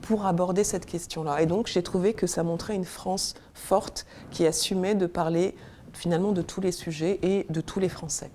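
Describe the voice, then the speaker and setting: A woman's voice: on the low side, steady tone, moderate pace, warm tone, slight background noise. A woman from Congo, a senior civil servant, speaking earnestly as a guest in a studio discussion and searching for words as she goes.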